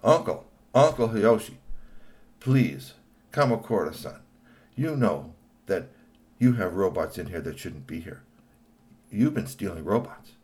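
A man's voice: fairly low pitch, animated, unhurried, pauses often, darkish tone. A man doing a stammering nervous young man. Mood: stammering pleading. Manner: stammering and anxious.

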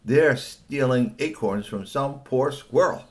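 A man's voice: low voice, animated, measured pace, pushed and bright, distant mic, exaggerated voice. A man voicing an indignant, outspoken woman in a children's story, exclaiming and scolding. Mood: dismayed.

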